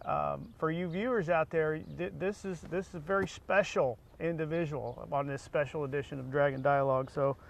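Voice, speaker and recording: A man's voice: a little high, steady tone, moderate pace, gravelly, few pauses, slight background noise. An American man hosting a casual interview in an informal, chatty way. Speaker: interview show host.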